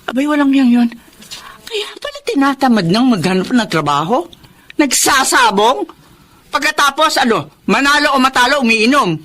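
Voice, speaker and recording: A woman's voice: sits high, sing-song, moderate pace, a bit bright, heavily acted. An actress playing a concerned older grandmother in a radio drama, scolding her son and shielding her daughter-in-law. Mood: indignant. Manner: scolding, protective.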